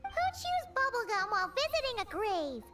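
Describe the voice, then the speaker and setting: Childlike little sprite girl: squeaky, sky-high, cheeky video game companion, prominent music behind. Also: big pitch swings, normal speed, recorded from a distance. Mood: surprised. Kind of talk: scripted video game dialogue acting.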